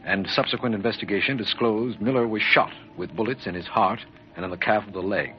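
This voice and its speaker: An actor playing a businesslike district attorney, narrating a case in a formal, matter-of-fact way for an old-time radio drama. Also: male voice, fairly low pitch, brisk, natural voice. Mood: brisk, official. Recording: muffled, hissy old radio recording.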